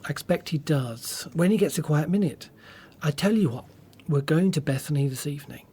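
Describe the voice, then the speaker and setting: A man's voice: a little high, lively intonation, normal speed, warm tone. A man playing a kindly, well-meaning disciple in a radio play, with a friendly, reassuring manner. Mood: anxious, reassuring.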